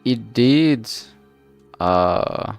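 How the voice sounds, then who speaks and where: A man giving a casual, unscripted livestream update in a halting, rambling way, often trailing off mid-phrase. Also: medium pitch, expressive, smooth, highs cut off, faint music behind. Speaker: streamer, visual novel maker.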